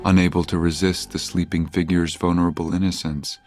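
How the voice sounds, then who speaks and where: Very deep-voiced male drama narrator. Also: flat delivery, a little fast, darkish tone, soft background music. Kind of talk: scripted audio drama narration.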